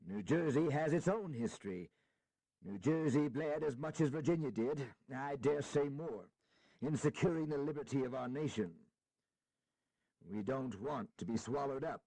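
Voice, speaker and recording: Impassioned small-state statesman, oratorical, highly varied pitch, historical audio drama, close and dry. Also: expressive, measured pace, dark tone, no echo, poor audio. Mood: impassioned pleading. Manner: earnest, oratorical, emphatic. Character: proud, impassioned small-state delegate, male.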